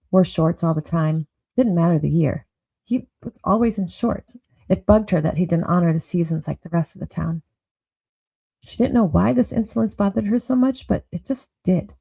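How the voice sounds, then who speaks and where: A woman narrating a noir audio drama with brooding, deliberate storytelling. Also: on the low side, gravelly, dark tone, breathy edge, no echo, poor audio.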